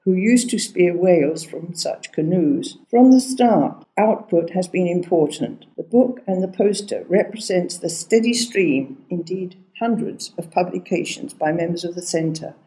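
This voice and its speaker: A woman's voice: lowish voice, measured pace, hardly any pauses, mellow, poor audio. An older woman academic narrating a prepared first-person account. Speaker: academic, research centre founder.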